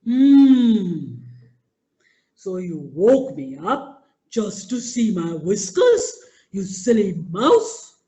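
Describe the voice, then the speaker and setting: A woman voicing a big, proud male lion who scolds and mocks slowly and loudly. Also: sing-song, rough recording. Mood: scolding. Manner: slow, booming, mocking.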